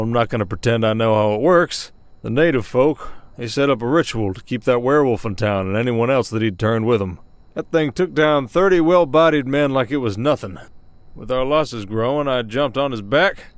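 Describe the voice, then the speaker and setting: A man's voice: mid-range voice, dry recording, lightly acted. Folksy Southern sheriff, acted by a man, close and dry. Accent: American Southern. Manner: folksy, drawling storyteller with hesitations. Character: gruff, protective old-west werewolf sheriff, weary and folksy. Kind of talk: ASMR roleplay acting.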